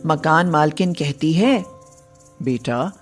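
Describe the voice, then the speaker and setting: A woman's voice: medium pitch, animated, unhurried, darkish tone, faint music behind. A woman narrating a scripted story as the storyteller.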